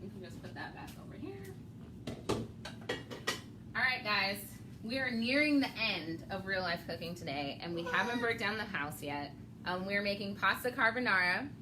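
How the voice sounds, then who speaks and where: A woman's voice: mid-range voice, expressive, normal speed, noisy background. Chatty woman hosting a home cooking demo. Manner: chatty, laughs often, explains step by step. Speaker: cooking show host. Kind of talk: casual cooking demonstration.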